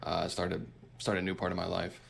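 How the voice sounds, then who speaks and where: A man in his thirties with a deep, creaky voice, recorded with faint background noise. He answers as a dating show contestant. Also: monotone, fairly quick. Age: 30s.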